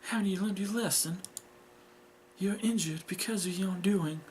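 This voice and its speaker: A man's voice: high voice, normal speed, darkish tone, a little hiss or hum, pitched above the performer's own voice, natural voice. A man voicing a cold, controlling mother who speaks with stern, contemptuous reproach in a story reading. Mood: scornful, scolding.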